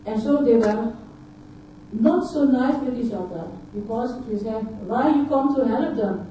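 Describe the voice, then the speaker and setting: A woman whose first language is Dutch, from Suriname, giving a halting personal talk at a conference, often repeating words and filling pauses with uh. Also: fairly high pitch, mellow, a little hiss or hum. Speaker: conference speaker. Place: large conference hall. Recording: echoey hall, voice picked up from far off.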